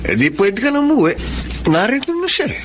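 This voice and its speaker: A man's voice: very high voice, sing-song, fairly quick. A man playing a pushy, jealous rival suitor in a radio drama, sardonic and insistent. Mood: sulky, pushy. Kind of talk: Filipino radio drama acting. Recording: noisy ambience behind the voice.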